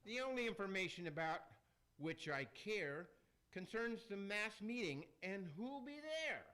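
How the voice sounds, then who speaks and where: A man's voice: high voice, animated, unhurried, bright, forceful tone, smooth, heavily acted. A man playing a vain, peevish damned soul with a fussy, theatrical delivery, in an amateur radio play. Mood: peevish, indignant.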